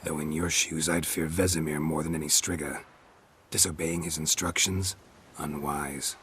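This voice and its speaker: An actor playing a stoic, wry monster-hunting mentor in a video game, terse and deadpan, with a gravelly voice. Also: male voice, a bass voice, moderate pace, a little hiss or hum. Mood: stern. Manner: deadpan, terse, dryly teasing.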